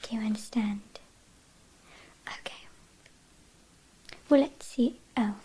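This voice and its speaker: A woman's voice: fairly high pitch, dark tone, slightly rough, full character voice. A woman with a British accent playing a teasing, haughty vampire kidnapper in an ASMR roleplay, whispering breathily. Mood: teasing, controlling. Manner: teasing, mock-apologetic, unhurried.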